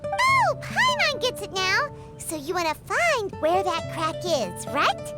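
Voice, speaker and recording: Game fairy sidekick: extremely high childlike squeak, bubbly and excitable, swinging intonation over music. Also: female voice, takes it slowly, exaggerated voice. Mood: curious. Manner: bubbly, refers to herself in third person. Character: tiny excitable floating fairy girl companion. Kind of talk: cartoonish video game voice acting.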